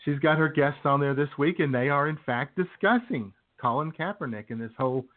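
A man's voice: fairly high pitch, a little fast. A man co-hosting a radio show, chatting casually about sports. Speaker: co-host of a comedy radio show. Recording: tinny phone-like narrow band.

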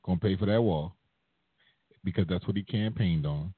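Man, very low voice, hesitant, dry phone-like sound. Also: normal speed, breathy edge, no echo. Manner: easygoing, hesitant with ums. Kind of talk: casual talk show commentary.

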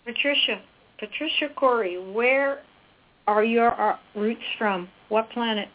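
A woman's voice: on the high side, unhurried, phone-quality sound. A woman host chatting and asking an interview guest questions in a conversational way.

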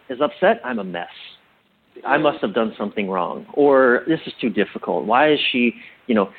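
A man being interviewed as a guest, explaining things like a coach in a thoughtful, halting way and repeating phrases for emphasis. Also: mid-range voice, creaky, narrow, tinny audio, a little hiss or hum.

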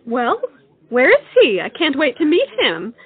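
Woman acting excitable high-voiced young society hostess. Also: unhurried, full character voice. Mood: eager, pouty. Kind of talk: theatrical comic period audio drama. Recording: tinny phone-like sound, music behind.

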